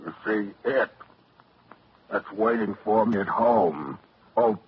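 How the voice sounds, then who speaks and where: Half-human brute villain, very deep slow drawl, hissy dull old radio play. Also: male voice. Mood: threatening. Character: menacing uncouth giant man, half-inhuman. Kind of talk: 1940s radio acting.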